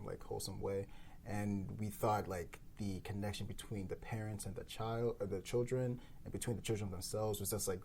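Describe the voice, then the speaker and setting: A man's voice: low-pitched, creaky, a little mellow, slight background noise. A man co-creating a podcast, talking through ideas in a thoughtful, rambling way with many fillers. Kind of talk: casual round-table discussion.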